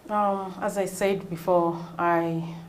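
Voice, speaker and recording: A woman in her early forties with a Ugandan accent, answering interview questions in a measured, explanatory way. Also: fairly low pitch, fairly even intonation, a little hiss or hum. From northwestern Uganda. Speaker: interview guest, non-profit executive director.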